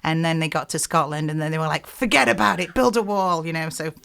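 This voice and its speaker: A woman's voice: deep voice, steady tone, quick pace, vocal fry, fairly bright tone. A middle-aged woman with a Yorkshire accent, a singer-songwriter chatting in a radio interview with lots of "you know" and "like". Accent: Yorkshire English. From South Yorkshire, England.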